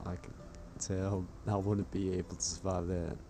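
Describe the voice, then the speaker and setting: A man voicing a laid-back frontier rider with a deep, low voice. He teases in a dry, low-key way, with game music playing underneath. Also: flat delivery, moderate pace. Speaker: roleplayer. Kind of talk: in-character roleplay chat.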